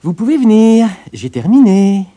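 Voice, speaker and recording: Boastful little tailor, put on by a male reader: high, loud, crowing. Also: sing-song, measured pace, heavily acted. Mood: triumphant. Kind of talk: storybook reading.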